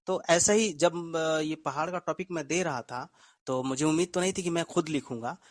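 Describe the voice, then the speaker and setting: A man's voice: fairly high pitch, moderate pace. A man, a story writer and co-host, chatting in an effusive, courteous and poetic manner. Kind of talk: casual, appreciative show conversation.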